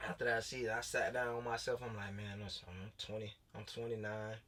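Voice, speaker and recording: A man's voice: on the low side, level tone, fairly quick, sharp tone, far from the mic. Laid-back man in his late twenties, off-mic. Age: late 20s. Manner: loose, laid-back storytelling with many fillers and restarts. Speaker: guest, former pro football player turned high school coach. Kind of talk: casual interview talk.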